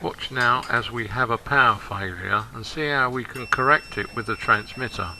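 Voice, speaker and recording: A man narrating a hobby product demonstration step by step, in a plain-spoken, deliberate way with hesitant pauses. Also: medium pitch, noisy background. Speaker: hobbyist presenter demonstrating a model train radio control.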